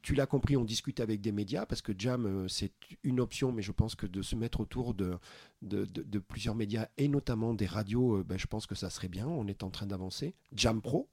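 A husky, slightly low-pitched man from France talks through his plans in an earnest, searching way, stopping often to find his words. The sound is close and dry, with no room around him. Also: brisk, warm tone, no echo. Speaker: podcast founder, interview guest. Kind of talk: casual interview chat.

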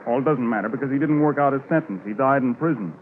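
An actor playing a matter-of-fact police officer recounting cases in conversational 1950s radio drama style. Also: male voice, mid-range voice, quick pace, phone-quality sound, close to an everyday voice. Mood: reflective, firm. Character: male police officer calmly recounting an old case. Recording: noisy band-limited 1950s radio recording.

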